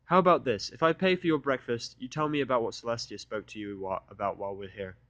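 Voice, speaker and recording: A man's voice: mid-range voice, quick pace, a bit bright, dry recording, dull audio, close to an everyday voice. A man voicing a bookish young female pony, persistent and polite, in an earnest, coaxing manner. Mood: insistent.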